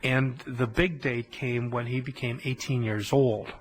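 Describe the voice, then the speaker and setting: A man giving a conversational history lecture on a podcast, telling it as an animated story with colloquial asides. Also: medium pitch, a little slow, a little hiss or hum, low-quality recording.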